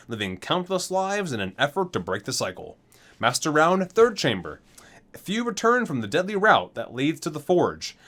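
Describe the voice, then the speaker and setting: A man's voice: mid-range voice, fairly bright tone. A man doing an ASMR video, reading item entries aloud item by item and adding enthusiastic casual asides. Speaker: ASMR video creator, gamer.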